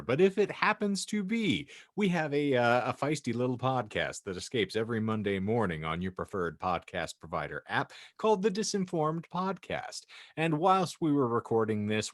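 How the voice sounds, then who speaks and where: A man's voice: on the low side, no echo. A male podcast host whose voice leaps around as he jokes and puns. It sounds dry and close to the mic. He keeps a hammy, playful tone between bits. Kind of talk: comic podcast banter and reading.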